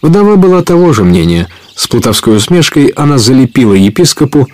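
A man's voice: medium pitch, sing-song, a little fast, a little mellow. A man narrating an adventure story in a scripted radio play, as a storyteller.

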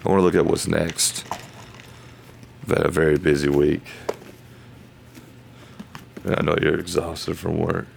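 Deep gravelly man, hoarse and halting. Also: flat delivery, slight background noise. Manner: halting, thinking aloud in loose phrases. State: hoarse, losing his voice. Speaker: podcast host. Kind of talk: casual Bible study talk.

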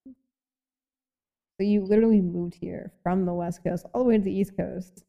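Gravelly young woman hosting a podcast. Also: medium pitch, steady tone, normal speed, highs cut off. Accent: light Boston. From Boston. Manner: rambling, many "like" fillers, laughs often. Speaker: podcast host. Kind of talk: casual chat.

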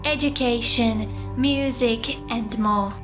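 A woman hosting an interview, reading a prepared introduction and asking questions in a measured, welcoming way. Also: a little high, steady tone, over music. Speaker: podcast host.

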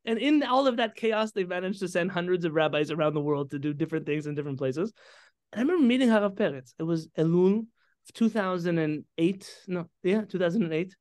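A man in his thirties from San Diego, a rabbi, telling his story in an animated way with Hebrew terms and frequent restarts. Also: sits high, fairly quick, no room sound, clean studio sound. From San Diego, California. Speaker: podcast guest, rabbi. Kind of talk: conversational interview storytelling.

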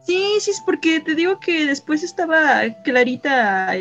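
A woman's voice: high voice, big pitch swings, measured pace, fairly bright tone, lo-fi sound, faint music behind. A woman chatting casually as a podcast co-host, laughing often and stopping mid-sentence to search for names. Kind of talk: casual chat.

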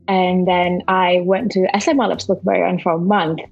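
A woman's voice: lowish voice, little pitch movement, normal speed, creaky, breathy edge, dull audio, faint music behind. A young woman in her twenties from Indonesia with an Indonesian accent tinged with Malaysian, chatting casually as a podcast guest with many fillers and restarts. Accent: Indonesian with a bit of Malaysian. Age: 20s. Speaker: podcast guest, university student. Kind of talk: casual interview chat.

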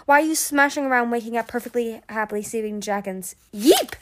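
Woman as an excitable, high-pitched indignant female dragon. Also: quick pace, lightly acted. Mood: startled indignation. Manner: exclaiming, complaining.

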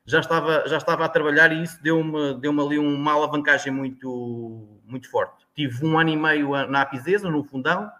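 A man's voice: on the high side, fairly even intonation, brisk, few pauses, bright, forceful tone. A man in his forties with a European Portuguese accent, a guest telling his story in a casual chat, rambling with many restarts. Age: 40s.

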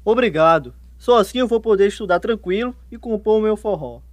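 An actor playing a fussy, self-absorbed grown son who fiddles, in a radio soap. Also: male voice, high voice, expressive, brisk, no echo.

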